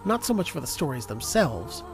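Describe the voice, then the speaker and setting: A man's voice: fairly high pitch, big pitch swings, moderate pace. A man reading scripted narration for an audio drama in a storytelling style. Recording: music under the voice. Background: music.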